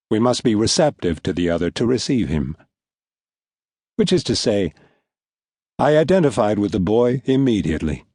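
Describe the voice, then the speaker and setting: A man with a low voice narrates a literary novel. His phrasing is deliberate and measured, as in a scripted audiobook. The recording is dry and close, with little room sound. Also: darkish tone, dry recording. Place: recording studio.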